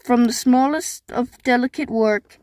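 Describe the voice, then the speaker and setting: A woman's voice: a little high, measured pace, darkish tone, no echo, close to an everyday voice. A woman voicing a polite, fair-minded village leader in an amateur cartoon comic dub, formal and earnest. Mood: earnest, pleading.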